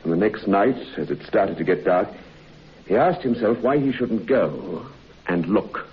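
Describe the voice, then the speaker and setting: An actor playing a sombre man telling of a friend's horrifying ordeal in a suspenseful, measured radio drama style. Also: on the low side, lively intonation. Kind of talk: dramatic radio horror narration. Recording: muffled, noisy old radio recording.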